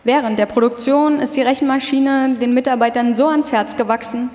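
A woman's voice: high-pitched, fairly even intonation, brisk, a little mellow. A woman reading scripted documentary narration as the narrator of a live radio feature. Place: large reverberant hall. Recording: long hall reverb, live performance.

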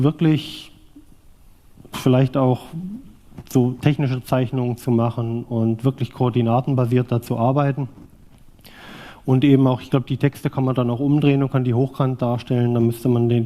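A man in his forties from southwest Thuringia presents a technical talk, thinking aloud as he goes. His voice is very dark and mellow, and he keeps stopping and restarting with many fillers. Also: medium pitch, level tone, moderate pace. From southwest Thuringia, East Germany. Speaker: conference speaker presenting a vintage graphics device.

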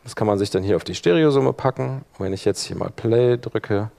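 A man presenting a live workshop, explaining software in a colloquial, hands-on way. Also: lowish voice, fairly quick, gravelly. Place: live workshop in front of attendees.

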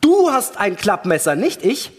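A man acting a wary, sarcastic astronaut in a dramatic radio play, argumentative and pointed, with a husky voice. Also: high-pitched, big pitch swings, unhurried, heavily acted. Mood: defensive, scornful. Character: wary, sarcastic male astronaut arguing with his crewmate. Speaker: actor in a radio play reading. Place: large hall, live staged reading. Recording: reverberant stage hall sound.

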